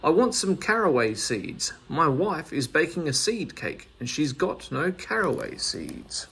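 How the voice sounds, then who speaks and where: A man voicing a plain-spoken old gentleman customer in a children's story. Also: fairly high pitch, sing-song, measured pace, vocal fry, breathy edge, exaggerated voice. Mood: inquiring.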